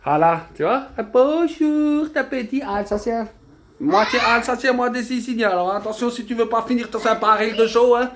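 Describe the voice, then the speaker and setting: A woman's voice: on the high side, moderate pace. A woman with an Alsatian accent hosting a comedic cooking demonstration, joking and chatting straight to the viewer. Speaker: cooking video host.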